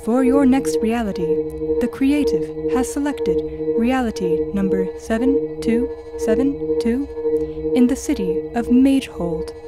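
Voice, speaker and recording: A woman voicing a calm, neutral, helpful artificial guide in an audio drama, formal and informative. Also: a little high, measured pace. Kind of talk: audio drama announcing and briefing. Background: music.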